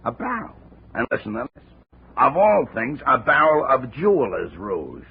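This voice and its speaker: An actor playing a chatty insurance contact in an old radio drama. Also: male voice, mid-range voice, huge pitch swings, moderate pace, vocal fry, husky, full character voice. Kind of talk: 1950 radio drama acting. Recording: tinny old radio broadcast.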